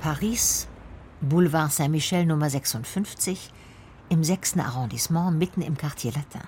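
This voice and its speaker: A woman's voice: low voice, moderate pace, a little mellow, slight background noise. A woman reading scripted radio documentary narration and translations as a voice-over.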